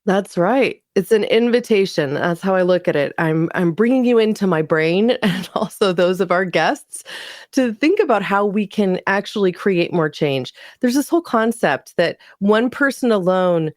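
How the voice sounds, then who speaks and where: A woman's voice: medium pitch, animated, moderate pace, darkish tone, no room sound, studio quality. A woman hosting a podcast, inviting and emphatic as she introduces her guest. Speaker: podcast host.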